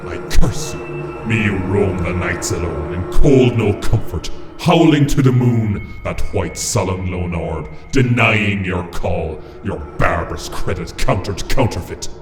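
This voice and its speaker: An actor playing a stern, imperious imp elder in a radio play, speaking in a pompous, archaic, declamatory way. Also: male voice, low-pitched, lively intonation, unhurried. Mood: furious, cursing. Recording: music and insect chirps behind voice.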